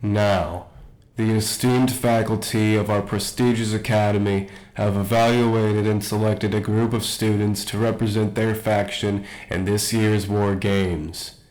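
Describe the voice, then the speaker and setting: A man playing an academy principal who makes formal, measured announcements in an audio roleplay. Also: fairly low pitch, flat delivery, a little mellow, close to an everyday voice, low-quality recording.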